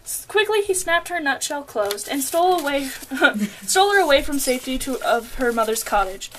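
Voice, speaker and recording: A woman's voice: sits high, very animated, normal speed, fairly bright tone, slight background noise, rough recording. A young woman reading scripted storybook narration, occasionally stumbling over her words. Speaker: puppet show narrator.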